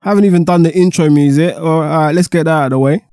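A man hosting a podcast in a chatty, rambling way, his voice husky and stuffy-nosed from a cold. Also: a little high, steady tone, fast talker, music in the background. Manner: chatty and rambling, with many fillers. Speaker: podcast host. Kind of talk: casual solo podcast monologue.

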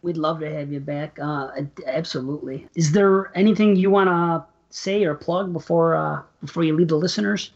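A man's voice: on the high side, normal speed, hardly any pauses, highs cut off. A man hosting a podcast, talking hesitantly with many stammered restarts. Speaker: podcast host. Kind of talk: casual interview chat.

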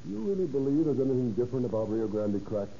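An actor playing a curious, mildly skeptical motorist, chatty and questioning, in a 1930s radio advertisement with muffled sound. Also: male voice, mid-range voice, big pitch swings, fast, vocal fry, dark tone. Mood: curious, doubtful. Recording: muffled old radio recording, faint hum.

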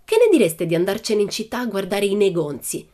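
A woman's voice: medium pitch, very animated, a little fast, mellow, pitched above the performer's own voice. A woman voicing a bold, cheerful little red-haired girl who tells breezy tall tales in a storybook reading.

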